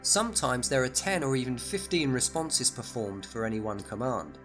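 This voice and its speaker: A man narrating a scripted promotional overview at a mid pitch. He explains each feature in an upbeat, enthusiastic tone. Light music plays underneath him. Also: normal speed, fairly bright tone. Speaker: product narrator.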